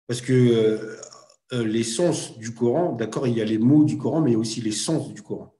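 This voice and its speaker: A man in a casual conversation, speaking thoughtfully and hesitantly, who pauses often and goes back over his points. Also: mid-range voice, warm tone, reverberant. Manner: thoughtful, hesitant, circles back and repeats himself. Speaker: guest, Arabic teaching project founder. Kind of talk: casual chat.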